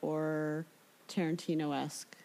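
A woman's voice: low voice, level tone, takes it slowly, gravelly, mellow. A woman hosting an interview in a casual, conversational style. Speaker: interview host.

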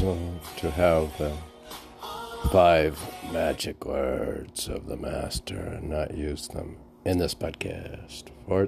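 A man talking casually in a halting, musing, repetitive way and reciting poetry as a podcast host, on an amateur recording. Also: a bass voice, a little mellow. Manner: halting, musing, repeating phrases. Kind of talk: rambling casual talk and poem recitation.

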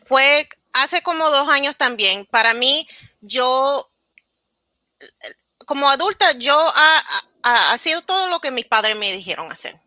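A woman's voice: sits high, expressive, measured pace, pushed and bright, dry recording. A woman in her thirties from New Jersey, telling her story candidly in a casual interview and slipping into words, her language. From New Jersey, United States. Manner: switches into English words mid-sentence. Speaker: interview guest.

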